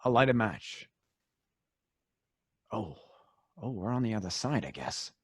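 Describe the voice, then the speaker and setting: Radio-play role: nervous, complaining accomplice, rough husky voice, very animated intonation, jittery. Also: male voice, on the low side, measured pace, no room sound, studio quality, exaggerated voice. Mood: alarmed. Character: nervous, grumbling male accomplice in a caper. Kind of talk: old-time radio drama acting.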